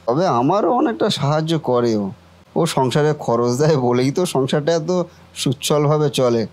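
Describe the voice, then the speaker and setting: A man's voice: a little high, normal speed, darkish tone, natural voice. A man voicing a kindly, reassuring eldest brother and office worker in a family cartoon. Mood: reassuring, fond. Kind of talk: Bengali family cartoon voice acting.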